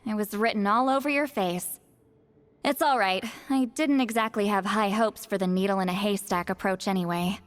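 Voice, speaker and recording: A woman's voice: mid-range voice, normal speed, natural voice. An actress voicing a seasoned, capable female spirit expert in a video game, with a wry, bossy, matter-of-fact manner. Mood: resigned, practical. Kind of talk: video game cutscene dialogue. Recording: music behind the voice. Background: background music.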